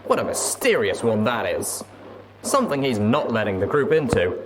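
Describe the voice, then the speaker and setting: A man's voice: mid-range voice, sing-song, moderate pace, background noise. A man narrating a story expressively as host of a reading show.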